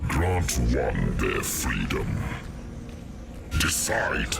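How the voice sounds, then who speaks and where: Cavernous, gravelly demon king. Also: big pitch swings, heavily acted. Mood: taunting, tempting. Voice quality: very deep, gravelly growl. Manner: slow, grandiose, condescending. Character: imperious male demon king, huge, taunting, tempting. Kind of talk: video game villain acting. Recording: voice under loud music.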